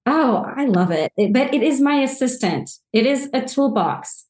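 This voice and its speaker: A woman's voice: sits high, animated, moderate pace, darkish tone. A woman in her forties from Poland, answering podcast questions about social media marketing in an enthusiastic, rambling way with frequent restarts. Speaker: social media agency guest. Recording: roomy echo.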